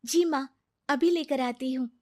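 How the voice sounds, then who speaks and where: A dutiful young daughter from a cartoon family drama, played by a woman. Her voice is fairly high and she speaks earnestly and obediently, as a kind-hearted girl who looks after her family. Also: a little slow, a little mellow. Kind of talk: Hindi cartoon dubbing.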